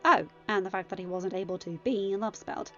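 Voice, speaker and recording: A woman's voice: mid-range voice, quick pace, lo-fi sound. A woman reading scripted fiction as a story narrator. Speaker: podfic narrator. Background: music.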